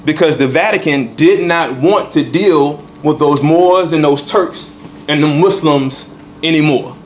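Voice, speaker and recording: A man's voice: a little high, measured pace, a little hiss or hum. A man teaching a congregation in a temple hall, repeating key points and checking in with "all right". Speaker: religious teacher.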